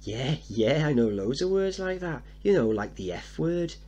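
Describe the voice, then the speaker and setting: Man acting a cheeky little boy, voice pitched up. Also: big pitch swings, moderate pace, mellow. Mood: cheeky, boastful. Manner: slangy, blunt, eager. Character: scruffy seven-year-old working-class boy, cheeky, boastful, suspicious.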